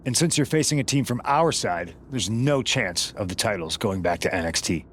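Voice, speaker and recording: A man's voice: lowish voice, expressive, moderate pace, a little hiss or hum, lightly acted. A man performing a shrewd, supportive wrestling manager in scripted game dialogue, assured and matter-of-fact. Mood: businesslike.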